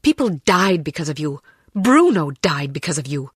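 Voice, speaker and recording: Low-voiced, poised British secret agent. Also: female voice, very animated, normal speed, slightly rough, dry recording, studio quality, full character voice. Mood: indignant. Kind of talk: video game voice acting.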